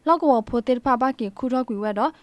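Female news presenter, fairly high voice, scripted read, dry close sound. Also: quick pace, dry recording, lo-fi sound.

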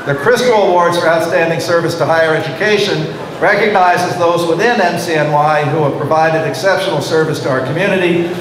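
A middle-aged man gives a measured, formal speech at a podium, his slightly high voice carrying through a big, echoing auditorium. Behind him, the audience murmurs and the room adds noise throughout. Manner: measured, reads from prepared remarks. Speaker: college official presenting awards. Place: large auditorium at a graduation ceremony. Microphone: podium microphone. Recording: echoey hall with crowd and noise.

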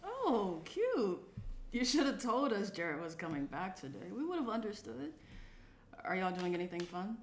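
A woman's voice: medium pitch, normal speed. A young woman playing a frank, playful and caring best friend in a naturalistic screenplay table read. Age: young adult. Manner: animated, candid, chatty. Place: small indoor room around a table. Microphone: shared tabletop microphone. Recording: roomy tabletop pickup, faint noise.

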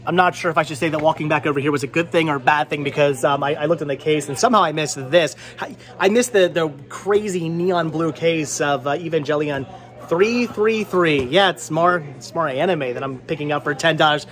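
A man's voice: a little high, brisk, a bit bright. A man in his thirties hosting a casual shopping vlog with a jokey, teasing manner, talking into a handheld camera in a small shop. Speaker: vlog host, movie collector. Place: indoors, small cluttered movie and game shop. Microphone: handheld camera at arm's length.

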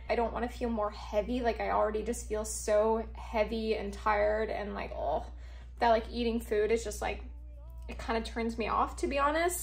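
A woman's voice: a little high, creaky, breathy edge. A young woman talks in a bubbly, chatty way, her voice stuffy and nasal from a cold. She fills her sentences with "like" and sits at arm's length from a phone camera in her kitchen. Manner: chatty, bubbly, says "like" a lot. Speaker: vlogger. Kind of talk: casual voice-over narration. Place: home kitchen, indoors.

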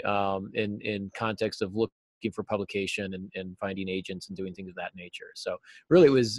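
An American man in middle age speaks in a fairly low voice. He talks conversationally and thoughtfully, as a guest being interviewed. The sound is dry, with little room in it. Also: no room sound. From United States. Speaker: interview guest, novelist and teacher. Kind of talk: casual interview talk. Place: small cluttered office, indoors. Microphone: video call.

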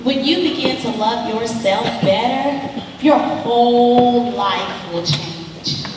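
An actress plays an earnest woman counselor in a stage drama, encouraging and preachy. Her voice is on the high side and rings through a very echoey theater, with clear noise in the recording. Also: slow, distant mic. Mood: earnest, testifying. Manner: preachy, emphatic, motherly reassurance. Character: warm, churchy female counselor, earnest and encouraging. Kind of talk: stage play acting. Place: indoor theater stage living-room set.

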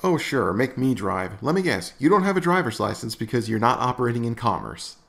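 A man's voice: mid-range voice, very animated, a little fast, gravelly, background noise. A man hosting a comedic commentary video, sarcastic and exasperated as he rants. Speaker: host.

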